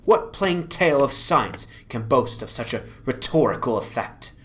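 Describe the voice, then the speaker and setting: A man giving a dramatic, grave and formal narration of a gothic horror story in measured phrases. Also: mid-range voice, sing-song, fairly bright tone.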